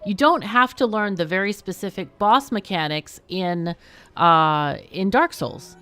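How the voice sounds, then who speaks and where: A woman's voice: medium pitch, unhurried, fairly bright tone, smooth. A woman hosting a casual gaming livestream, chatty and playful as she comments on the game and puts questions to her guests. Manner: chatty, playful, laughs often. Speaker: livestream host and gamer.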